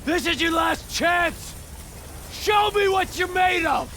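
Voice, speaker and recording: Fierce male goader, slow gravelly shouts at extreme height, for combat scenes. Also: very high-pitched, background noise, heavily acted. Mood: fierce, goading. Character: fierce commanding man goading a fighter. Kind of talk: shouted game combat acting.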